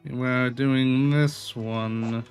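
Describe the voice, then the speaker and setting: A man's voice: medium pitch, steady tone, extremely slow, soft background music. A man giving casual, playful commentary as he streams a video game, often exclaiming and talking to the characters.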